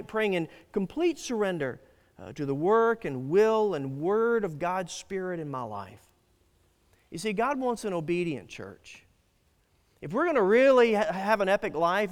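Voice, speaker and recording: Emphatic high-voiced male pastor preaching. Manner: earnest, emphatic preaching with repeated restarts. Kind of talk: sermon. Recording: long hall reverb.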